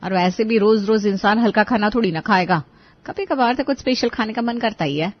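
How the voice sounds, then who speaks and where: A woman voicing a scheming, bossy, sharp-tongued wife in a family cartoon, scolding and sarcastic. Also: mid-range voice, fairly quick, lo-fi sound, close to an everyday voice. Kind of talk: Hindi family cartoon voice acting.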